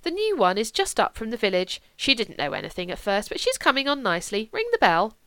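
A woman's voice: on the high side, huge pitch swings, fairly quick, fairly bright tone, smooth, dry recording, exaggerated voice. A woman voicing an elderly family nanny who rambles on fondly and gossips about the household. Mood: fond chatter. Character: elderly female family nanny, fond and chatty. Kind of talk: audiobook character voice.

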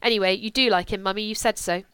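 A woman's voice: mid-range voice, fairly even intonation, fast, fairly bright tone, clean tone, no echo, natural voice. A woman voicing a spirited, headstrong young aristocratic woman who talks in an impetuous, petulant way. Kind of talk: audiobook character voice.

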